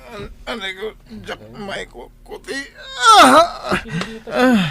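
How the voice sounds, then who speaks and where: Guy doing pitiful hurt husband in radio drama, groany n slow, loud music under it. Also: extremely high pitch, big pitch swings, vocal fry, heavily acted. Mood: pained. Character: injured drunk husband, groaning, pitiful and apologetic. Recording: music behind the voice.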